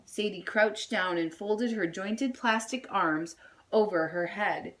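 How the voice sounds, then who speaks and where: A woman reading a children's story aloud in an expressive style. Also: mid-range voice, takes it slowly. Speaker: storybook reader.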